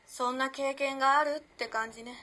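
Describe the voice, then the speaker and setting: A woman's voice: high voice, fairly even intonation, a little fast, sharp tone. An actress playing a teasing, self-possessed, mysterious modern girl of the 1910s in a radio drama, using old-fashioned feminine speech. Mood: knowing. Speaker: actor in amateur radio drama. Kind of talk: Japanese radio drama acting, storytelling. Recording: noisy background ambience.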